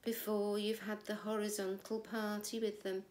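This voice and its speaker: A woman's voice: medium pitch, flat delivery, moderate pace, a little mellow, slight background noise, close to an everyday voice. A woman acting a jaded middle-aged housewife in a wry, confiding, gossipy comic monologue. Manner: wry, confiding, gossipy, with rhetorical tag questions. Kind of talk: conversational comic stage monologue. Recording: echoey room.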